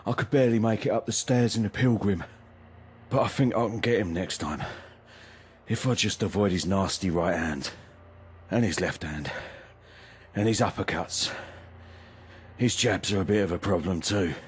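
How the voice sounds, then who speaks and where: Man playing a battered, low-voiced, winded boxer. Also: moderate pace, long pauses, a little hiss or hum, lightly acted. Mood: pained, rueful. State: in pain, short of breath. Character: battered, stubborn amateur boxer, wincing but undeterred. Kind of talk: video game dialogue.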